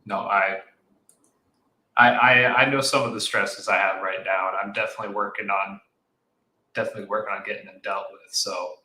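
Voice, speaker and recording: Deep, bright-voiced man in an echoey room. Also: flat delivery. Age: 30s. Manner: measured, reflective, with restarts. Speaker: podcast guest. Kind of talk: casual conversation.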